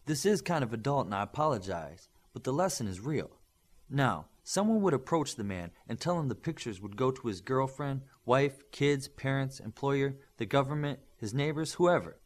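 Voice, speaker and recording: Man reading as stern male academy instructor, principled, didactic, emphatic, animated intonation, superhero audiobook. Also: medium pitch, close to an everyday voice. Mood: stern, lecturing. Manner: emphatic, didactic, measured lecturing with rhetorical questions. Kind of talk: audiobook character dialogue.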